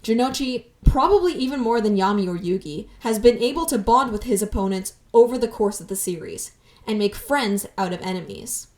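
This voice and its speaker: A woman's voice: mid-range voice, expressive, fairly quick, darkish tone. A woman in her twenties narrating a personal video essay as channel host, earnest and sentimental with wry, self-deprecating asides. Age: 20s.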